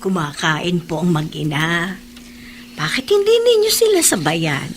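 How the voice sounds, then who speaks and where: A woman's voice: on the low side, big pitch swings, measured pace, faint music behind. A woman playing a respectful, concerned household servant in a Tagalog radio drama, deferential, with a Filipino accent. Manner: deferential, questioning.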